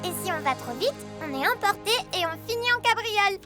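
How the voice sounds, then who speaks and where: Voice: very high-pitched, animated, fairly quick. A performer voicing an eager, childlike young truck in a French children's cartoon, full of exclamations. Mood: earnest. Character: eager young child mini truck, excitable and sometimes anxious. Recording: music behind the voice.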